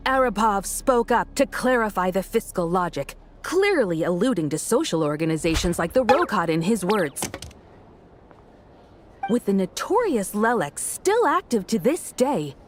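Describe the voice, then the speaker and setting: Lively, dramatic female news reporter. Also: medium pitch, measured pace, soft background music. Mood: dramatic, probing. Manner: dramatic, rhetorical questions to the audience. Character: excitable female news reporter stirring up the crowd. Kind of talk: theatrical news commentary.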